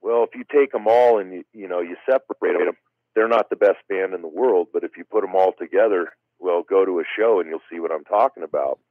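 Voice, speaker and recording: Deep-voiced man on a tinny phone line. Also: brisk, no room sound. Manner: rambling, many "you know" fillers. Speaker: guest wiffle ball player. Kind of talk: casual interview chat.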